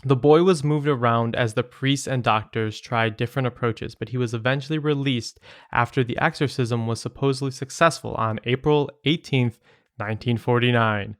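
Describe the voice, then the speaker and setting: A man with a medium-pitched voice co-hosts a podcast. He moves between reading a story aloud and loose, joking conversation. The recording is dry, with very little room sound. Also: moderate pace, dry recording. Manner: joking asides. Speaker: podcast co-host. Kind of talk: reading listener email aloud with casual banter.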